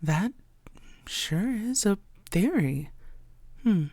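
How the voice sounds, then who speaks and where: A woman playing a coy, secretive vampire who poses as a tired blood bank worker, speaking softly and playfully in an intimate audio roleplay. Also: medium pitch, animated, drawn-out words, no room sound, full character voice. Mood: musing, playful. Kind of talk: intimate scripted audio roleplay addressed to the listener.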